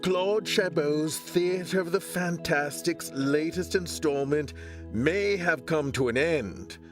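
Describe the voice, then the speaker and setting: Measured male announcer over music. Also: fairly high pitch. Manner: formal, measured. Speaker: announcer and sponsor spokesman. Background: prominent music.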